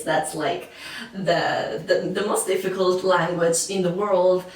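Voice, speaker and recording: A woman's voice: on the low side, slight background noise. A young woman from Sicily with an Italian accent, chatting casually to camera about learning languages, with many fillers and restarts. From Sicily, Italy. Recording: echoey room, voice a bit far from mic.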